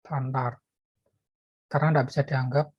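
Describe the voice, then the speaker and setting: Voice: medium pitch, flat delivery, moderate pace, slightly rough, dry recording, highs cut off. A lecturer explaining programming while typing code, thinking aloud with many fillers and restarts. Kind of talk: live coding lecture.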